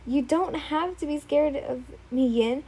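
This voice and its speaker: A woman's voice: sits high, unhurried, dark tone, voice pushed up. A woman voicing an obsessive, tearful young man who pleads in an emotional way. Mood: coaxing.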